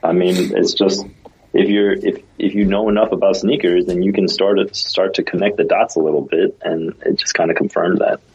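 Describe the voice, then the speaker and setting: A man with a very low, husky voice rambles casually through a story, full of "like" and restarts. His audio comes through dull and lo-fi. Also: fairly even intonation, brisk, a little mellow. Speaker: podcast co-host. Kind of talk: casual podcast chat.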